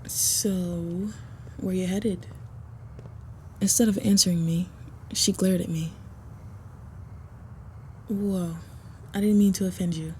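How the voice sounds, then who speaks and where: Low, mellow vampire woman, acted by a woman. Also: steady tone, slow pace, long pauses, a little hiss or hum. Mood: flirty, teasing, then intrigued. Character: confident female vampire, flirty, aloof, wandering loner. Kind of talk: first-person dramatic roleplay narration.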